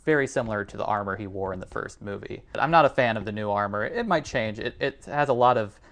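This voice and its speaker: A man's voice: on the low side, expressive, quick pace, gravelly, a bit bright. A man co-hosting a film podcast, talking casually in a panel discussion.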